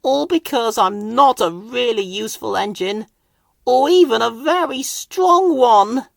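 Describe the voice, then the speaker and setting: A man's voice: very high-pitched, expressive, unhurried, gravelly, dry recording, voice pushed up, full character voice. A man voicing a proud, stubborn, easily huffy little tank engine in a children's storybook. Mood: dejected, upset. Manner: huffy, puffing, emphatic.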